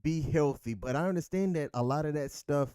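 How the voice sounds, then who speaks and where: A man in his thirties co-hosting a relaxed couple's chat show, easygoing and quick to laugh at himself. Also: on the high side, clean tone, no echo, studio quality. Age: 30s. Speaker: co-host.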